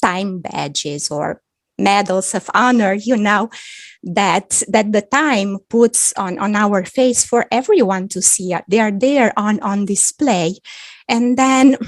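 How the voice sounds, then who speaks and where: A woman in her fifties from Romania, speaking with a Romanian accent as a guest on a video-call chat, thoughtful and quick to laugh. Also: medium pitch, no room sound. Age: 50s. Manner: slow, thoughtful, laughs often. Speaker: guest author. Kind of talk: casual video-call interview.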